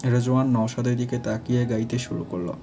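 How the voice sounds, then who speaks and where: Male narrator for scripted audio fiction, dark warm tone, little pitch movement, light music underneath. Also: little pitch movement, brisk, creaky. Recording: faint music behind.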